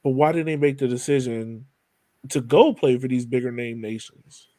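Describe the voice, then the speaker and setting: A man's voice: medium pitch, normal speed, mellow, no echo. A man hosting a podcast interview in a casual way, feeling his way through his questions.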